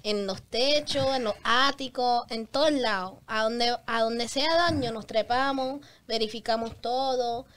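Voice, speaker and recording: A woman's voice: a little high, a little slow, hardly any pauses, fairly bright tone, smooth. A young woman guest whose first language is English, speaking somewhat hesitant Spanish in a casual interview. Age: young adult. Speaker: guest, public adjuster. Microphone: studio mic on boom arm, headphones.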